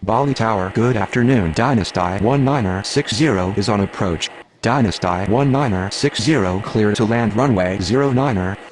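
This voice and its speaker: A man's voice: on the low side, normal speed, natural voice. A man playing an airline pilot or controller in a game, reciting scripted air traffic phraseology. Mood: procedural.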